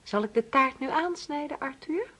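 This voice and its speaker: A woman's voice: high-pitched, animated, normal speed, a bit bright. An actress in a radio play as a middle-aged bereaved mother, bitter yet obedient to her husband. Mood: eerily docile. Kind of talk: radio drama acting.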